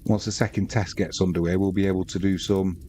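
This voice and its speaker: A man's voice: deep voice, level tone, fast talker, dark tone, slight background noise. Chatty Manx man commentating live. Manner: rambling, chatty, reads out viewer comments. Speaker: live stream sports host. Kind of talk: casual live match commentary and chat.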